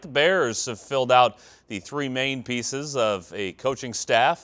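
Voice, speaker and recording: A man's voice: lowish voice, fairly bright tone. An American man reading scripted sports news as a television anchor.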